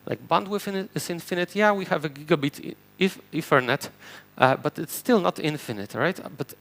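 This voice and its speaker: A man's voice: fairly high pitch, normal speed, gravelly, fairly bright tone. A man from Poland with a Polish accent, whose first language is Polish, giving a technical conference talk from a stage and explaining step by step with fillers and restarts. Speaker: conference speaker. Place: conference stage before an audience.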